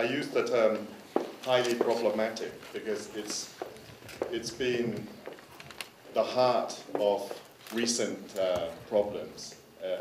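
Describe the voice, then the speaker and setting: A man whose first language is Dutch presents a curator's talk in a lecture hall, slow and hesitant, with plenty of repeated words and fillers. His slightly low voice carries the roomy echo of the hall and sounds distant from the microphone. A faint noise sits underneath. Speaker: curator giving a forum presentation. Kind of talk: conference talk. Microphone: handheld microphone.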